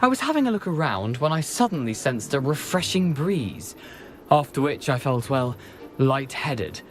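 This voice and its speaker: An actor playing a cheery, roguish decapitated ghost in a video game, with a British accent and a wry, theatrical, chatty manner. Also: male voice, mid-range voice, sing-song, moderate pace, soft background music, natural voice. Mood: playful. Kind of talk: video game character acting.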